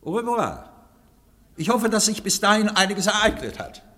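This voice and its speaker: A man's voice: high voice, sing-song, normal speed, sharp tone, exaggerated voice. An actor playing a commanding, sardonic president of anarchists in a radio play, theatrical and biting in his delivery. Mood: scornful. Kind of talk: German radio play acting. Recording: long hall-like reverb.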